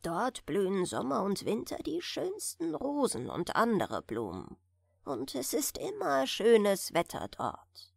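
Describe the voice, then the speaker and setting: A woman gently narrating a bedtime fairy tale in a soft, soothing manner. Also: a little high, wildly swooping pitch, slow, vocal fry, breathy edge, no echo, studio quality. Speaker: audiobook reader.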